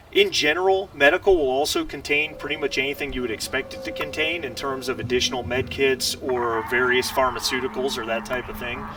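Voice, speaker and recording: A man's voice: mid-range voice, vocal fry. A man acting as game master, talking casually and hesitantly as he explains the rules of a tabletop game over a voice call. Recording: distant mic with faint noise.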